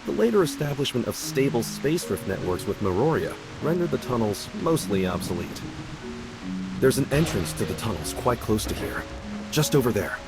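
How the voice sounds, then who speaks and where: A man voicing a calm, knowledgeable young companion in a video game, explaining things in a measured way. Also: medium pitch. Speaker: game character. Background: game music.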